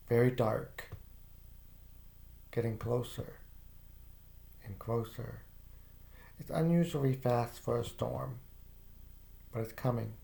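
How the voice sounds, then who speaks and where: A man slowly narrating a guided visualization in the second person, calm and soft-spoken with casual fillers. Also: medium pitch, gravelly.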